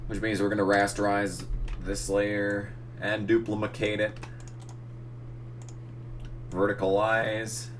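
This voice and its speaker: Young man, low voice going hoarse, casual self-narrating editor who swears at the screen. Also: completely flat delivery, takes it slowly, pauses often, slight background noise. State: losing his voice. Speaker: gaming channel host. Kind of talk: casual tutorial commentary while editing.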